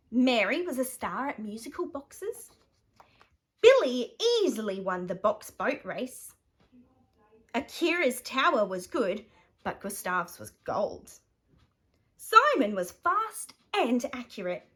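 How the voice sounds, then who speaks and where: An Australian woman reading a children's picture book aloud, animated and dramatic with playful emphasis. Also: fairly high pitch. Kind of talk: expressive children's bedtime story reading.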